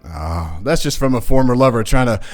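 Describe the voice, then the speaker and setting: A male actor plays a smooth young playboy from a noir radio drama. He talks in a medium-pitched voice with casual, faintly hesitant charm that hides deceit. Soft music sits behind him. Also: expressive, a little fast, lightly acted. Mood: sincere-seeming. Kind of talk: 1940s noir radio play acting.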